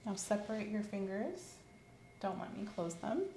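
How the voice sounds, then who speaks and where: A woman hosting an ASMR physical exam roleplay, giving calm, step-by-step instructions in a soft voice. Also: medium pitch, moderate pace. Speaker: ASMR host performing an exam. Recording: roomy with faint hiss.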